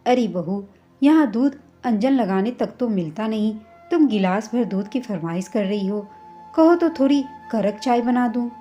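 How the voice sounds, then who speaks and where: A stingy, complaining older mother-in-law from a family story, acted out by a woman narrator. The voice sits fairly high and has a soft, mellow edge even as it scorns and bosses. The recording is a little dull, with music underneath. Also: unhurried. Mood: scornful.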